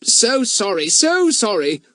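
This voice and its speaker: A man's voice: a little high, very animated, normal speed, slight background noise, pitched above the performer's own voice. A man voicing a cheeky, mischievous schoolboy who teases in a falsely sweet, polite way. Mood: mock-sweet. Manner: mock-polite and sweetly teasing.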